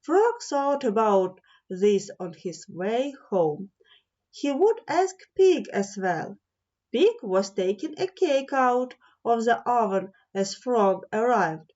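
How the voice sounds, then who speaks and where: Woman narrator for a children's audio fairy tale, lilting and unhurried, somewhat high voice, muffled lo-fi recording. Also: animated, darkish tone. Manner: slow, lilting read-aloud delivery. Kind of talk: slow storybook narration for children.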